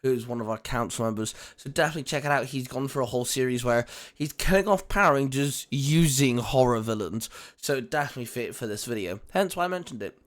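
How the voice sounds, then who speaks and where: A man's voice: medium pitch, animated, fast talker, few pauses. A man co-hosting, rambling through a friendly outro with plenty of fillers. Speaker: co-host doing the outro. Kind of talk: casual channel plug and outro.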